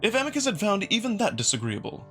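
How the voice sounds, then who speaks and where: A scheming jackal aristocrat, voiced by a man, speaks high and softly with courtly, measured polish. The delivery is lilting and politely amused, in visual novel style, with faint music underneath. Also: huge pitch swings, full character voice. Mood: candid, suave. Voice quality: high, soft voice. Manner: formal, measured, courtly diction. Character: genteel, scheming male jackal noble, smoothly polite and amused. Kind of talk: visual novel dramatic reading.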